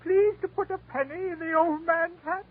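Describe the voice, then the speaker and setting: A woman's voice: extremely high pitch, normal speed. A woman playing a young Christmas beggar chanting a rhyme in a 1940s radio performance. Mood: pleading chant. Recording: tinny old radio broadcast.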